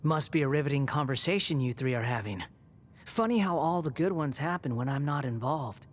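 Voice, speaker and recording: Haughty, sardonic young antihero. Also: male voice, a little high, lively intonation, brisk. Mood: sarcastic, irritated. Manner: sarcastic, dismissive, curt. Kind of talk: video game voice acting.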